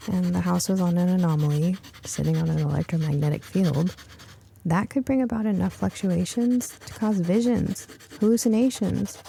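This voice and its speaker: A woman narrating a first-person audiobook story in an expressive, suspenseful storytelling manner. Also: on the low side, creaky, a little hiss or hum.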